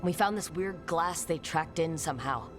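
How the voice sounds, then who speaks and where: An actress playing a determined, serious game heroine, speaking tersely and earnestly. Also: female voice, deep voice, normal speed, over music, lightly acted. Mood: grave, urgent. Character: determined adult female adventurer leading a rescue, serious, steady. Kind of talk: video game voice acting.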